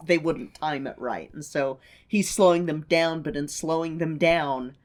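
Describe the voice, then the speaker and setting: A woman's voice: deep voice, unhurried, darkish tone. A woman co-hosting a podcast, chatting casually about a film in a gushing, dramatic manner. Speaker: podcast co-host. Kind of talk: casual enthusiastic movie chat.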